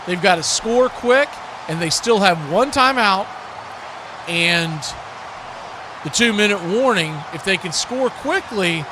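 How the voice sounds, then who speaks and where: High-pitched American man calling football play-by-play. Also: noisy background. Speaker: play-by-play sportscaster. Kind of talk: live American football commentary.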